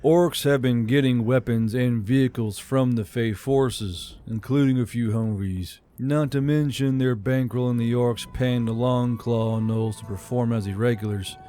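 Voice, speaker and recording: A man voicing a stern, low-key military commander. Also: measured pace, hardly any pauses, natural voice. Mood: grave. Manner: flat, low-key, murmuring. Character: stern, wary male fantasy military commander. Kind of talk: audiobook character dialogue.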